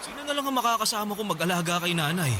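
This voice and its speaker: Pleading, remorseful Filipino husband, highish voice. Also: male voice, expressive, rapid-fire, noisy background. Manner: pleading, apologetic. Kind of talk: Filipino radio drama acting. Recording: music bed under the dialogue.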